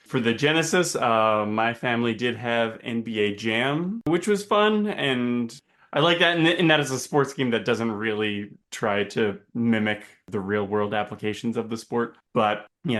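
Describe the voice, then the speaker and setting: A man chatting casually as a podcast panelist, speaking in a slightly halting, hesitant way. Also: medium pitch, fairly bright tone. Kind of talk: casual chat.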